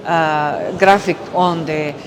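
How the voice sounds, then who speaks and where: A woman from Spain with a Spanish accent guides visitors through an exhibition as its curator, speaking in a halting way. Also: sits low, background noise. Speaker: exhibition curator, architecture professor. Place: exhibition gallery, indoors.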